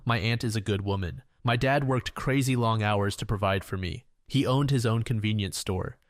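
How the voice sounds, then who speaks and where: A man's voice: on the low side, no echo, clean studio sound. A man narrating online stories aloud in a plain, matter-of-fact way. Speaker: reddit story narrator.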